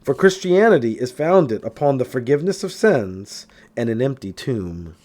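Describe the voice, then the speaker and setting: A man's voice: medium pitch, big pitch swings, normal speed, a little mellow. A man reading a spiritual self-help book aloud in an emphatic manner, occasionally stumbling over a word. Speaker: audiobook reader.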